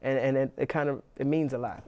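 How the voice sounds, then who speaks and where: Young New man, flat halting talk. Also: mid-range voice, clean tone. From New York, Inwood. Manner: relaxed, halting with many restarts and fillers. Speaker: actor, interviewee. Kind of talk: casual interview.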